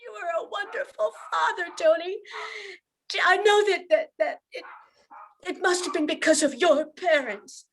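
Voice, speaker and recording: A woman's voice: very high voice, measured pace, a bit bright, slight background noise, full character voice. A woman playing an earnest young widowed mother in an amateur radio play, with heartfelt, theatrical delivery. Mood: anguished.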